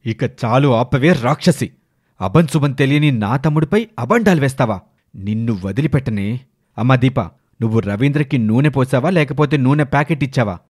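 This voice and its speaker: A man acting a domineering village husband in a dramatic animated moral story. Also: mid-range voice, normal speed, close to an everyday voice. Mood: furious. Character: domineering village husband, scheming then wrathful.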